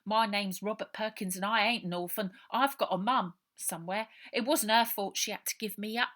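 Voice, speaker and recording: A woman's voice: mid-range voice, moderate pace, a bit bright, voice pushed up, lightly acted. A woman voicing a frightened, streetwise ten-year-old orphan boy with a working-class English accent, in earnest colloquial speech for a storybook. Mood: confiding, uneasy.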